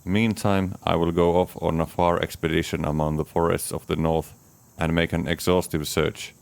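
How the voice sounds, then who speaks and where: A man giving a measured, deadpan first-person reading of a comic diary, speaking as its writer. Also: sits low, gravelly, smooth, dry recording. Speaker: audiobook reader. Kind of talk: dramatic reading of a diary as Adam.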